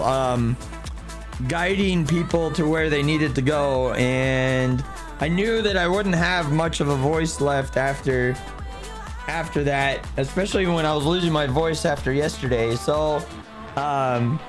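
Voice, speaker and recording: A hoarse man who has nearly lost his voice, chatting casually with viewers and a teammate while livestreaming a game. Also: a little high. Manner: chatty, greets viewers by name. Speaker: streamer playing a driving sim. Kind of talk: casual live-stream chat.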